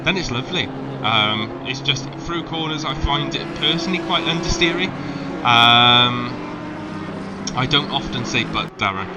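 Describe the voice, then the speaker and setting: Welsh male sim racing caller, hesitant and chatty, average pitch, noisy recording. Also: animated, unhurried. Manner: hesitant, many ums and restarts. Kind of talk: live race commentary. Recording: loud background noise.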